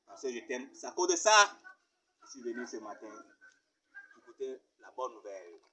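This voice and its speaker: A man's voice: medium pitch, sing-song, moderate pace, bright, forceful tone, low-quality recording. A man playing a persistent, churchgoing suitor who earnestly begs a woman to forgive him and marry him. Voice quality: earnest, begging manner.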